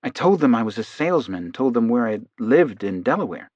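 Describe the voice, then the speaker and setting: A man voicing a meek, earnest, hypnotized male defendant, soft and halting, in an audiobook. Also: mid-range voice, sing-song, no room sound, lo-fi sound. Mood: hesitant recalling. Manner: soft, halting, half-distracted.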